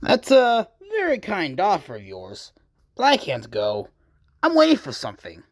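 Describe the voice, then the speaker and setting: A man voicing a battered old male rescue engine in a fan comic dub, weary, stoic and gentle. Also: high voice, huge pitch swings, moderate pace, no echo, clean studio sound, full character voice. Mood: gentle, resolute. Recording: music under the voice.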